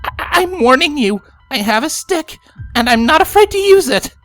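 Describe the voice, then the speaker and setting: A woman's voice: sits high, sing-song, normal speed, fairly bright tone, no echo, faint music behind. A woman voicing a frightened, bossy young female unicorn in a dramatized story reading. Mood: nervous bluster. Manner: exclamatory, demanding.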